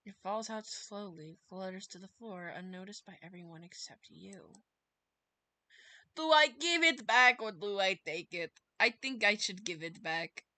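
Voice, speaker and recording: A woman's voice: medium pitch, lively intonation, measured pace, sharp tone, no room sound. A woman livestreamer reading a visual novel aloud, narrating dramatically and breaking off into playful asides. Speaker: streamer. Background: prominent music with plucked guitar.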